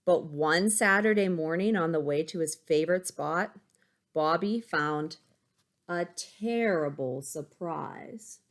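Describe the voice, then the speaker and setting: A woman teacher reading a picture book aloud to young pupils, expressive, with chatty asides. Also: low voice, slow pace. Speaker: elementary school teacher.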